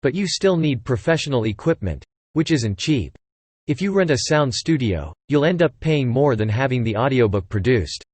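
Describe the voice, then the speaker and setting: Male American promo narrator, synthetic AI voice, mid pitch, very dry recording. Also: very animated, moderate pace, a little mellow, no room sound. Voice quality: synthetic text-to-speech voice. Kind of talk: scripted promotional dialogue.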